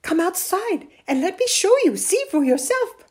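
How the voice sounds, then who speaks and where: A woman voicing an eager, pleading young shepherd boy in a storybook tale, earnest and excitable. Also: sits high, slow, voice pushed up. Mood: insistent.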